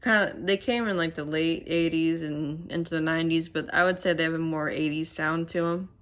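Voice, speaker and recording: A woman sits at home chatting straight into her camera mic, her voice low and a little stuffy and nasal. She rambles, pausing and hunting for words as she goes. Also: fairly even intonation, fairly bright tone. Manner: rambling and halting, many fillers. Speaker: channel host. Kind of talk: casual to-camera vlog chat.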